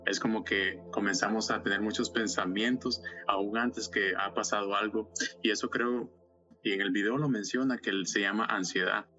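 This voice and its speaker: A man's voice: fairly low pitch, steady tone, moderate pace, bright, forceful tone, breathy edge, muffled recording. A man co-hosting a faith community podcast, talking casually and explaining ideas with rhetorical questions. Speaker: podcast co-host.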